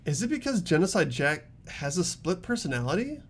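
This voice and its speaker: A man's voice: fairly high pitch, sing-song, brisk. A man voicing an earnest, thoughtful teenage boy detective, tentatively reasoning in an amateur game dub. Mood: pondering.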